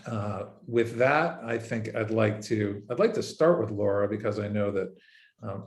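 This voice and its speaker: A man moderating a panel over a video call, speaking in a measured, hesitant way with many fillers. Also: mid-range voice, a little mellow. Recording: roomy echo.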